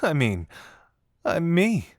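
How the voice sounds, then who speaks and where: A man plays a flirtatious male detective in a romantic audio roleplay, confessing as a secret admirer. His delivery is lively and swooping, teasing but earnest, with shy hesitations. The recording is close and dry. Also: fairly high pitch, slow, no echo, heavily acted. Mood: tender, self-deprecating.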